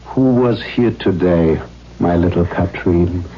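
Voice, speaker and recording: An actor playing a cruel, domineering middle-aged father in a 1970s radio drama, mock-gentle and formal as he menaces his daughter. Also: low-pitched, slow pace. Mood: menacing. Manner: mock-gentle, formal phrasing, erupting into demands. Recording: dull, noisy 1970s radio recording.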